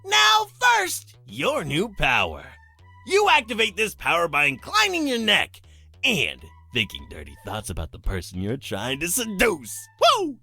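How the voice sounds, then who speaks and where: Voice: mid-range voice, wildly swooping pitch, a little slow, vocal fry, bright, forceful tone, husky, faint music behind, full character voice. An actor playing a flamboyant, teasing demon master of ceremonies in a visual novel, announcing with theatrical relish. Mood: playful coaxing. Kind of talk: character acting in a visual novel.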